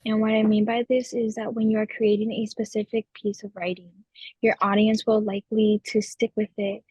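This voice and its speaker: A woman's voice: medium pitch, level tone, normal speed, a little mellow, breathy edge, dry recording. An eighteen-year-old woman explaining her views earnestly in a student podcast discussion. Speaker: student panelist.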